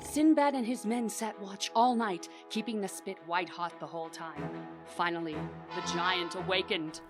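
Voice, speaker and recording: A woman playing a storyteller, narrating an adventure tale in a measured, theatrical audio drama style. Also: fairly low pitch, close to an everyday voice. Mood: dramatic. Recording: faint music behind voice.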